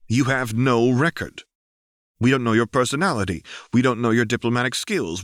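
A man's voice: medium pitch, fairly bright tone, no echo, studio quality, voice pushed up, close to an everyday voice. A man voicing a blunt male council master in an audiobook, exasperated and emphatic.

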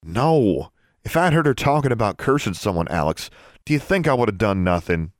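A man's voice: lowish voice, very animated, moderate pace, no echo, clean studio sound, voice pushed up. A man voicing a weary, defensive teenage boy, an older brother, in an audiobook, reasoning and exasperated.

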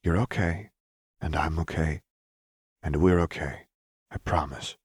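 A man's voice: a bass voice, steady tone, measured pace, breathy edge, no room sound, clean studio sound, heavily acted. A man voicing a caring, worried young woman who is earnest and reassuring, in an audiobook.

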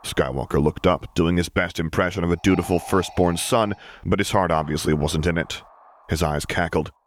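A man's voice: low-pitched, fairly even intonation, moderate pace, a little hiss or hum. A man reading a story aloud as dramatic, scripted audiobook narration.

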